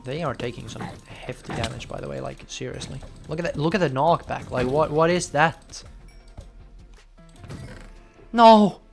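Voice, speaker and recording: Excitable young man streaming. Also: fairly high pitch, normal speed, vocal fry, soft background music. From Finland. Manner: chatty, bursts into loud excited outbursts. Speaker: game streamer. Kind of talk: casual live gameplay commentary.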